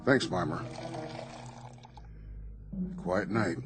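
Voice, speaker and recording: A man's voice: low-pitched, drawn-out words, gravelly, over music, exaggerated voice. A man playing a dutiful Navy lieutenant in a radio drama, speaking in a matter-of-fact, deferential way. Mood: uneasy.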